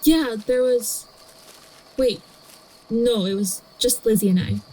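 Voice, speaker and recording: A woman in a podfic audio drama playing a blunt, tired, unbothered young woman, deadpan throughout. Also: fairly high pitch, takes it slowly, a little hiss or hum, poor audio. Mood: dry, unbothered.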